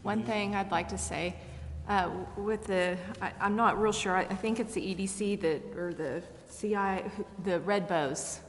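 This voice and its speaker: A woman's voice: mid-range voice, fairly even intonation, normal speed, hardly any pauses. Hesitant American woman in an echoey hall. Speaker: city council member. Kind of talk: informal meeting remarks. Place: council chamber. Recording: echoey hall, faint noise.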